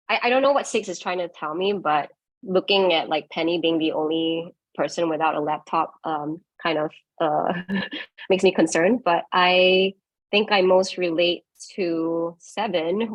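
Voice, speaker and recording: A woman's voice: lowish voice, dry recording, low-quality recording. A woman from Singapore, a tech panelist, chatting casually and self-deprecatingly over a video call. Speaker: panelist, software engineer.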